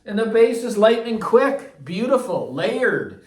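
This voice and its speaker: Roomy, higher-voiced man reviewing enthusiastically. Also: big pitch swings, slow pace. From Canada. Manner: enthusiastic, emphatic, repeats himself for stress. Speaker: audio gear reviewer. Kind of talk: casual unscripted vlog review. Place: echoey listening room. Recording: roomy echo, distant microphone.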